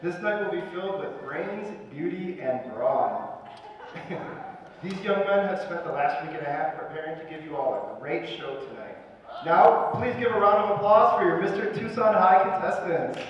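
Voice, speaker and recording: A man's voice: high-pitched, moderate pace. A man emceeing a school pageant, announcing to a live audience in an upbeat, playful showman manner. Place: large echoey school auditorium. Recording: far-off echoey hall, muffled, noisy.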